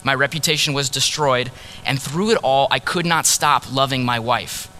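A man preaching in the first person as a grieving ancient prophet, earnest and sorrowful, dropping to a hush on key words. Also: medium pitch, normal speed, slight background noise, close to an everyday voice. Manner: earnest, sorrowful storytelling, drops to hushed tones on key words. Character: grieving ancient male prophet, heartbroken husband. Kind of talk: dramatic first-person sermon monologue.

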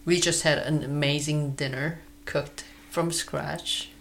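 Slow-talking gravelly co-host, easygoing casual chat. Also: medium pitch, level tone, a bit bright, a little hiss or hum. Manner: easygoing, laughs often, unhurried. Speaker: podcast co-host.

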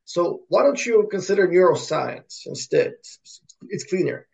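A man's voice: high-pitched, expressive, a little slow, creaky, a little mellow, lo-fi sound. A man from Russia, a native Russian speaker, answering interview questions as an academic guest, often repeating words and restarting. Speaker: podcast guest, computer science professor.

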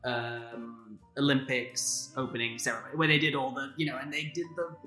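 A man's voice: mid-range voice, level tone, sharp tone, faint music behind. Rambling English man in an echoey room. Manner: rambling, quick bursts full of "like" and "you know". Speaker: podcast co-host. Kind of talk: casual conversation.

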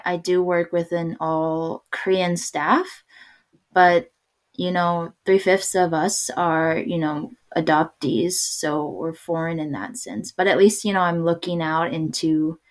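A woman talking in a relaxed conversation, hesitating and restarting often, with little laughs between thoughts. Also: lowish voice. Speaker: podcast guest. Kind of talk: casual conversation.

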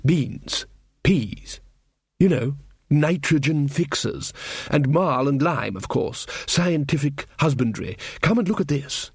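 A man's voice: fairly high pitch, expressive, slow pace, husky, dry recording, clean studio sound, exaggerated voice. A man voicing an earnest, pedantic young king who stammers and lectures cheerfully. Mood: earnest, fussy. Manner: pedantic, self-satisfied, stammering.

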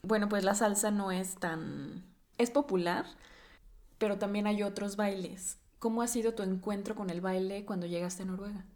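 A woman's voice: medium pitch, normal speed, a little mellow. A woman hosting a podcast, asking her guest questions in a casual, curious way. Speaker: podcast host.

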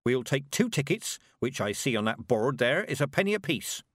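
A man's voice: medium pitch, sing-song, moderate pace, fairly bright tone, no room sound, studio quality, voice pushed up. A man voicing a stern, proud old woman witch who talks in a cold, clipped, commanding way. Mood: icy.

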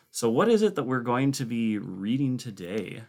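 A man's voice: medium pitch, very animated, unhurried, darkish tone. A man hosting a podcast in casual chat, asking questions of a guest. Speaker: podcast host.

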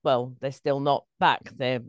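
A woman's voice: very deep voice, little pitch movement, a little slow, smooth, no echo, highs cut off. A middle-aged woman, a stage performer telling anecdotes as an interview guest.

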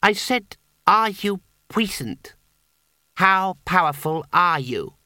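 A man's voice: high-pitched, lively intonation, slow, sharp tone, no room sound, studio quality, voice pushed up, full character voice. A man voicing a solemn, self-assured young boy in a storybook, blunt and challenging.

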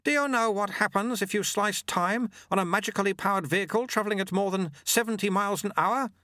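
A brisk, practical sweeper, played by a male storyteller. He calls out in a very high, raised voice, as if over wind, in storybook style. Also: no echo, clean studio sound. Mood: brisk, loud. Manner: shouting over rushing wind.

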